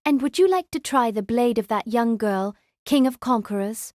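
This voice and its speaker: A woman's voice: fairly high pitch, expressive, moderate pace, a little mellow, no echo, clean studio sound, close to an everyday voice. A woman playing a proud young female knight king in an audio drama, formal, solemn and stern. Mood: proud, challenging.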